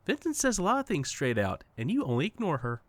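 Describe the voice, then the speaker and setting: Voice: mid-range voice, huge pitch swings, quick pace, no room sound. An actor playing a smug, playful, unreal best friend in an audio drama, teasing and condescending in a sing-song way. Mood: mocking, coy. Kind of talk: audio fiction podcast acting.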